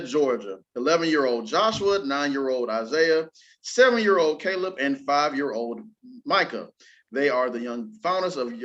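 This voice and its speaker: A man hosting a casual entertainment chat show, talking in an informal, slangy, exclamatory way and at times reading items aloud. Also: on the high side. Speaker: talk show host. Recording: dull, lo-fi sound.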